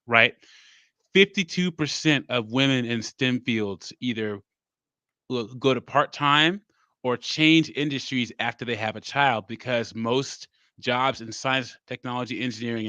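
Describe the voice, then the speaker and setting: Man with a bright, tense voice and a halting, lecturing delivery. Dry lo-fi call audio. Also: mid-range voice, no echo. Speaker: live stream participant. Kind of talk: casual group discussion. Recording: dull lo-fi mic.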